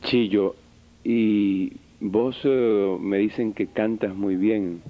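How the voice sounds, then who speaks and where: A man conducting an old radio interview in a deliberate way, pausing as he asks. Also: lowish voice, steady tone, phone-quality sound. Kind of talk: 1980s guerrilla radio interview.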